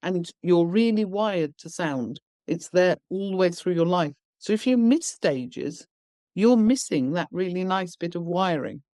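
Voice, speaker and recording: A woman's voice: fairly low pitch, expressive, unhurried, dark tone, dry recording, studio quality. A woman guest on a podcast interview, explaining her views bluntly and emphatically with everyday examples. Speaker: education founder, guest.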